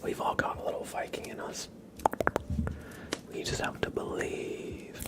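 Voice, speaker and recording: A man hosting a livestream, whispering ASMR-style into a close microphone as he reads out and thanks his chat. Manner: soft, slow whispering with light chuckles. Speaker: livestream host. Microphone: close microphone picking up mouth sounds.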